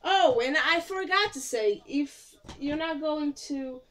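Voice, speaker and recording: A woman's voice: sits high, slow pace, fairly bright tone, slight background noise. A young woman from Portugal with a Portuguese accent, chatting casually about movies she watched, with many fillers and restarts. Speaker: vlogger. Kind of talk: casual movie review vlog.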